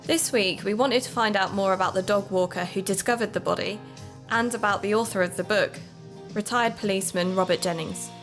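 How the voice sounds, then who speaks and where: A woman's voice: mid-range voice, brisk, lightly acted. An actress playing a teasing, supportive woman who hosts an investigative podcast in an audio drama, with music behind her. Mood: narrating. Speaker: podcast host.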